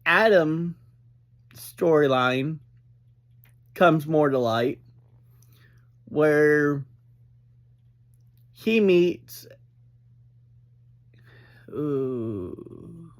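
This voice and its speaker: A man giving an informal, unscripted recap as a reviewer, speaking in halting, deliberate phrases with frequent breaks. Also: a little high. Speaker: reviewer and host of an episode review series.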